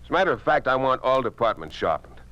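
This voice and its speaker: A man's voice: medium pitch, very animated, quick pace, a bit bright, muffled recording. A man acting a confident, ambitious newspaper publisher and affable boss in a 1950s radio drama. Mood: firm, insistent. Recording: noisy 1950s radio recording.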